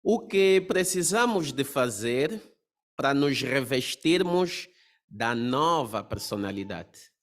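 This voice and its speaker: Male study conductor, average pitch, short orderly prompts and thanks. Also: expressive, takes it slowly. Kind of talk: leading a question-and-answer religious meeting.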